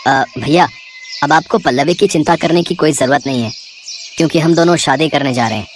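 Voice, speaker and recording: A man's voice: fairly high pitch, a little fast, a little hiss or hum, lightly acted. A man playing an earnest, confident young suitor in a story, speaking firmly. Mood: polite, assured. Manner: earnest, firm.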